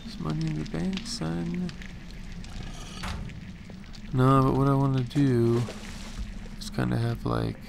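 A young man with a raspy morning voice, casually rambling through gameplay commentary with slang. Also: medium pitch, steady tone, takes it slowly, long pauses, noisy background. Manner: slangy, rambling, laid-back. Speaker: gaming channel host.

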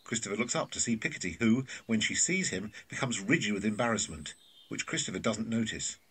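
A man's voice: fairly low pitch, lively intonation, brisk, pushed and bright, slightly rough. A man reading scripted stage directions as narrator of an audio drama.

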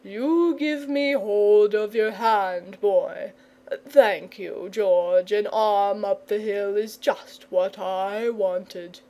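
A big, lazy storybook dragon, acted out by a woman, jovial and half asleep. He drawls very slowly and rambles along, slurring his words. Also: medium pitch, steady tone, dark tone. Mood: blustering, genial. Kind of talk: children's storybook character.

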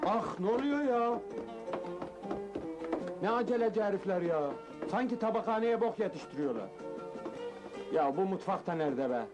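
A man's voice: high-pitched, lively intonation, moderate pace, long pauses, highs cut off, over music. An actor playing a plain-spoken, pleading man from the provinces in a comedy film, talking colloquially and plaintively. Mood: grumbling. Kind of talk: Turkish comedy film acting.

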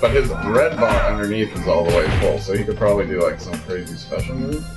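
A man with a fairly low voice plays a game and drops dry, understated comments. His voice bounces around an echoey room, with loud game music throughout. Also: expressive, brisk. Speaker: co-host playing the game. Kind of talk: casual let's-play commentary. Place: indoor room, seated on a couch.

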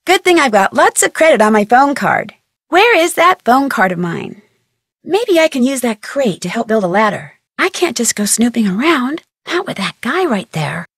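A young female sleuth from a mystery video game, curious and polite. Her voice sits on the high side and moves with animated intonation. The sound is dry and close. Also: moderate pace, slightly rough, no echo, exaggerated voice. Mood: matter-of-fact. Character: inquisitive, polite young female amateur detective.

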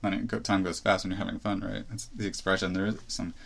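A man's voice: sits low, level tone, fast, creaky. A man in his thirties hosting a solo podcast, thinking aloud with restarts and asides as he gives his opinions. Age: 30s. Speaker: podcast host.

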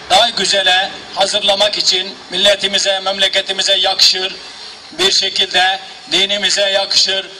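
A man's voice: sits high, pushed and bright, slight background noise, poor audio. Man reciting a solemn outdoor prayer. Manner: solemn, slow, invoking. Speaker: prayer leader. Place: outdoors at a shop opening.

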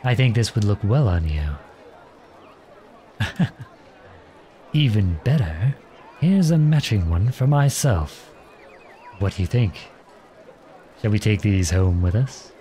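A man playing a charming, gentle, teasing outlawed prince in an ASMR roleplay, courtly, playful and tender in his way of talking. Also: lowish voice, very animated, takes it slowly, long pauses, slight background noise. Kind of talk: ASMR roleplay acting addressed to the listener.

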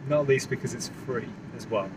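A man's voice: mid-range voice, moderate pace, distant mic, poor audio. A man in his thirties, a travel vlogger, chatting with enthusiasm while filming at arm's length in a car. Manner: enthusiastic, upbeat commentary. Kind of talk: casual travel vlog chat. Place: inside a car, then outdoors.

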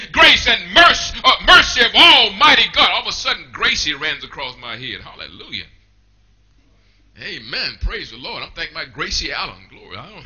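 A middle-aged man preaching a fiery revival sermon in a small church, shouting in rhythmic, repeating calls. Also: very high-pitched, rough recording.

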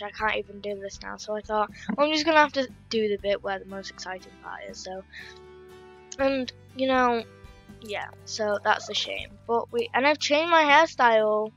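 A young woman casually commenting on a game while reading its dialogue aloud, rambling and croaky from just waking up. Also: mid-range voice, fairly bright tone, muffled recording. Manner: chatty, rambling, reads game text aloud. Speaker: gaming YouTuber.

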